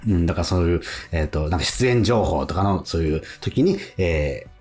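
A man co-hosting a radio show, telling anecdotes in a casual, animated storytelling way. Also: low-pitched, brisk, soft background music. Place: radio studio.

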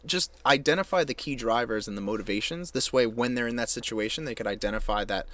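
A man's voice: mid-range voice, fast, noisy background. Young man, podcast co-host, casual rambling talk, profane, restarts often. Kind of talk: casual chat.

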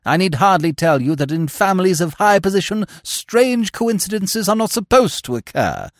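Brief: male reader as a grand, haughty dowager, high, loud and commanding. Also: sing-song, moderate pace, dry recording, studio quality. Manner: imperious, haughty, pronouncing. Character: imperious haughty aristocratic older woman.

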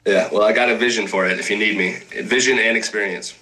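A man guesting on a podcast, trading jokes in a dry, deadpan way. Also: fairly low pitch, fast, a crowd in the background, low-quality recording. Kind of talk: deadpan joking chat.

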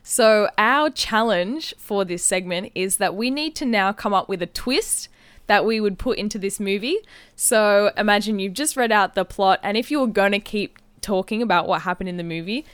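A woman's voice: mid-range voice, normal speed, smooth, dry recording. A woman co-hosting a podcast, explaining things in a casual, chatty, giggly way. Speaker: podcast co-host. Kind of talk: casual chat, explaining a game. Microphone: close podcast microphone.

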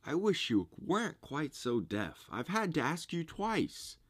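A man's voice: fairly high pitch, very animated, a little slow, husky, dry recording, studio quality, voice pushed up, full character voice. Man playing a blunt, glum storybook carpenter. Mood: grumbling. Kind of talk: storybook character reading.

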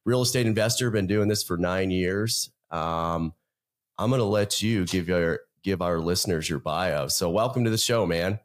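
A man's voice: low-pitched, moderate pace. A man co-hosting a podcast, joking around and trading banter with his co-host and guest. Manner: playful, joking, hype-man intro.

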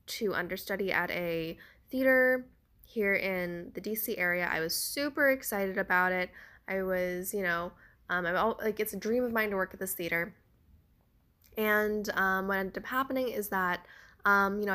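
A woman's voice: mid-range voice, fairly bright tone. A young woman hosting a solo podcast, chatting casually with many fillers while congested and a bit nasal. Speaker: podcast host; theater actor.

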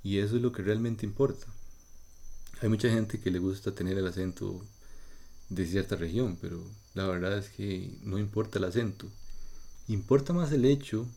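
A Costa Rican man speaks in a low, gravelly voice. He explains things patiently in short, measured phrases, as if talking to learners. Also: steady tone, dark tone. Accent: Costa Rican Spanish. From Costa Rica. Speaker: podcast host. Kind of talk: slow explanatory monologue for learners.

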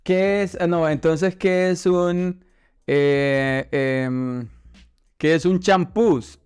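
A man's voice: on the high side, extremely slow, darkish tone, clean tone, dry recording, clean studio sound. A man hosting a casual bilingual interview, hesitant and often repeating his words. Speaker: interview host.

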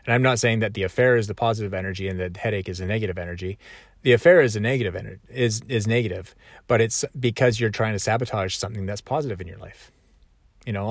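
A man's voice: mid-range voice, no echo, clean studio sound. A man talking reflectively in a conversational, self-correcting way. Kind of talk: reflective solo talk.

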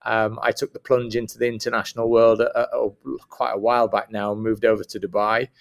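A man's voice: fairly low pitch, little pitch movement, fairly quick, creaky. A middle-aged man with a northern Midlands English accent, a guest talking reflectively and modestly, often repeating words. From Northern England and Midlands, UK. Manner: self-deprecating, repeats words. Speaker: interview guest, school principal. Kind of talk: casual reflective interview talk.

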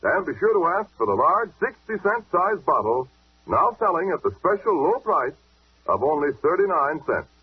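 Male 1940s radio pitchman, emphatic, higher-pitched, very animated, muffled vintage broadcast. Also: normal speed, husky. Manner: punchy, emphatic sales delivery. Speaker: radio announcer reading sponsor ads. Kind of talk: 1940s radio commercial announcing. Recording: muffled old-time radio recording.

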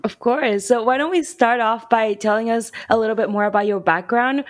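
A woman's voice: a little high, moderate pace. A woman co-hosting a podcast, reading a scripted intro and then asking the guest friendly questions. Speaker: podcast co-host.

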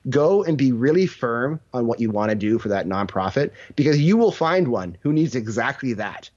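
A man's voice: mid-range voice, big pitch swings, normal speed, a little mellow. Dull-sounding man, casual storyteller. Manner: anecdotal, acts out quoted dialogue. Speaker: interview guest, leadership mentor.

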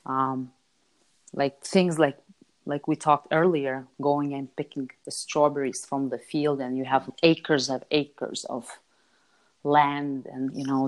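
Low-voiced Lithuanian woman chatting informally. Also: low-quality recording. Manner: informal, repeats words for emphasis, seeks agreement with tags. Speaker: interview guest, entrepreneur. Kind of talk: casual conversational interview answers.

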